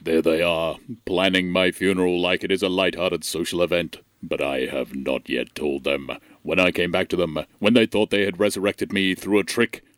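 Low, solemn alien intelligence played by a man. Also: no echo, studio quality, close to an everyday voice. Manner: formal, grave wording. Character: solemn disembodied alien intelligence, secretly watching his friends. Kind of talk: audio comedy drama monologue.

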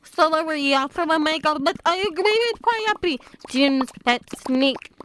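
A woman's voice: high-pitched, measured pace, a bit bright. A woman voicing a sweet, dreamy, agreeable character in a comedic audio drama. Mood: polite, bright.

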